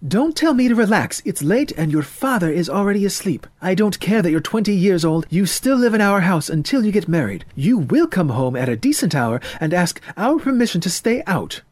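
A man's voice: sits high, sing-song, a little fast, hardly any pauses, a little mellow, dry recording, voice pushed up. A man voicing an anxious middle-aged mother who frets and scolds her grown son in an audiobook story. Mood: scolding. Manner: fretful, scolding, insistent.

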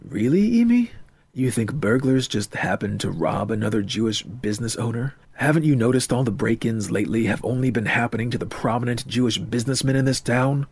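A man voicing an earnest, restless twenty-year-old in an audiobook, low-key and a little defensive. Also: expressive, a little fast, creaky, darkish tone, husky. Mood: suspicious.

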